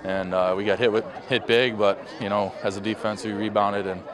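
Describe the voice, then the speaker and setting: A young man, a college football player, answering postgame questions outdoors in a measured way with filler ums. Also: on the low side, flat delivery. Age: young adult. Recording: crowd chatter outdoors.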